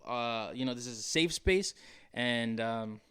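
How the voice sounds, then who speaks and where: A man's voice: medium pitch, a little slow, a bit bright, smooth. A man hosting a podcast in a casual, conversational style, rambling and joking as he goes. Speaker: podcast host.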